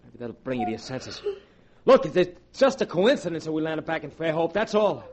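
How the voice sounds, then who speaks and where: A man's voice: on the high side, very animated, brisk, a little mellow. An actor playing a tough, bullying, slangy male crook in a 1940s radio drama, brusque and impatient. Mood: impatient, angry. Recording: noisy vintage 1940s radio recording.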